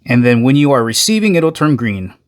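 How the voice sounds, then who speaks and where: A man's voice: mid-range voice, very animated, a little fast. A man giving a casual, conversational product review, addressing viewers directly as he explains.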